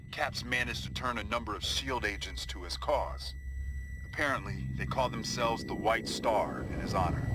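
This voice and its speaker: An actor playing a steady, tactical superhero briefing his team in a video game. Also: fairly low pitch, heavy background noise, close to an everyday voice. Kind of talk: mission briefing.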